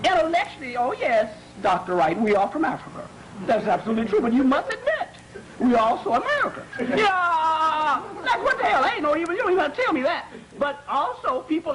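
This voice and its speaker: A man, a psychologist, answering audience questions at a college conference, animated, often repeating and restarting words and acting out examples. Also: extremely high pitch, slight background noise, low-quality recording. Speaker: psychologist lecturing.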